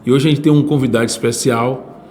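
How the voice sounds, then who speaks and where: A man's voice: medium pitch, steady tone, a little fast, darkish tone. Bahian man hosting, faint background noise. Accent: Bahian Brazilian. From Salvador, Brazil. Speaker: podcast host. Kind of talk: casual conversational hosting.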